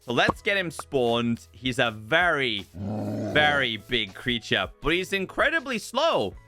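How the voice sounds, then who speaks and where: A man giving game showcase commentary as a video host, in an expressive, emphatic presenter's delivery. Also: medium pitch, slow. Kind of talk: game showcase commentary, part scripted narration, part live reaction. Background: music.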